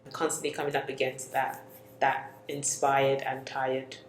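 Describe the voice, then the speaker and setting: A young adult co-host sharing reflections in a soft, musing way with trailing pauses. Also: medium pitch, completely flat delivery, slight background noise. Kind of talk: reflective personal conversation. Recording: echoey room.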